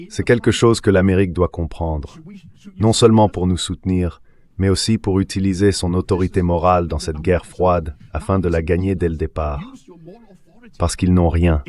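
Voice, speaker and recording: A man speaks in a low-pitched voice. His lines are an interviewee's remarks, delivered as a translated voice-over. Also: a little mellow.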